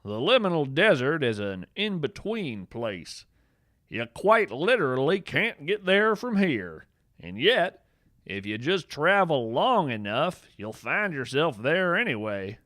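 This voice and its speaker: A man's voice: a little high, very animated, unhurried, a bit bright, no echo, clean studio sound. A man playing a folksy, eccentric naturalist podcast host, delivering a whimsical scripted monologue in a theatrical, wry way. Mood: folksy, counseling. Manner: theatrical, folksy, wry, addresses listeners as friends. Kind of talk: scripted comedic monologue, radio-show storytelling.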